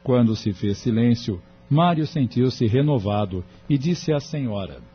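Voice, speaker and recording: A man's voice: medium pitch, expressive, unhurried. A man with a Brazilian accent reading scripted narration for an audio drama. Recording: dull lo-fi audio with music behind.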